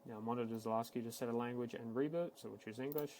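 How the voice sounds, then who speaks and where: A man giving a casual, matter-of-fact, step-by-step software walkthrough, speaking unscripted like a tech support instructor. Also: medium pitch, fairly even intonation, fast talker, slight background noise, rough recording. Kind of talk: screen-recorded software tutorial, unscripted walkthrough.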